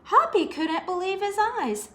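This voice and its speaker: A woman's voice: very high voice, animated, unhurried, sharp tone. A woman storyteller reading a picture book aloud expressively to children, adding asides about the pictures.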